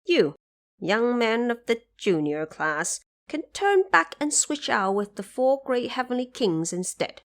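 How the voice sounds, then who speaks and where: A woman's voice: on the high side, sing-song, a little slow, a bit bright, voice pushed up, heavily acted. A woman voicing a cocky, mocking male monkey king in an audio drama, with a taunting, condescending manner. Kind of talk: audio drama character acting.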